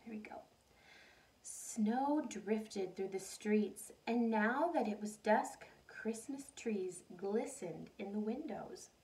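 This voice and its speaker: A woman reading a picture book aloud like a teacher, animated, pausing to put questions and asides to young listeners. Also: medium pitch, gravelly. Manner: animated storytelling, addresses young listeners directly. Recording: voice distant from the microphone.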